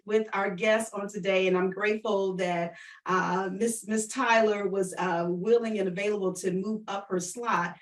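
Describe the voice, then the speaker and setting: A woman's voice: mid-range voice, far from the mic. A woman hosting a casual livestream interview, chatting conversationally and addressing viewers directly, often repeating her phrases. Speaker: online show host and interviewer.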